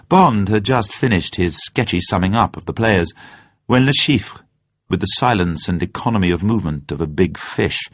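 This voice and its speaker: Low male narrator reading a story, measured and deliberate, slightly muffled sound. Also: no echo. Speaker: narrator, reader. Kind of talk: scripted audiobook narration.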